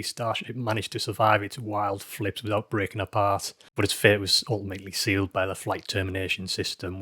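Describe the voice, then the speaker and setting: A man's voice: lowish voice, a bit bright, no room sound, clean studio sound. A man hosting a news update show, narrating from a script with an emphatic, dramatic storytelling delivery. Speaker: host of a space news show.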